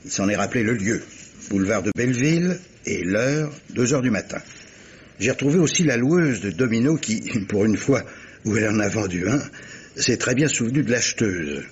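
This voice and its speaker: A man's voice: fairly low pitch, lively intonation, moderate pace, slight background noise, close to an everyday voice. A man playing a shrewd, genial army captain and amateur detective in a 1950s-style French radio drama, emphatic and coaxing. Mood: deferential, persuasive. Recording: muffled old radio recording.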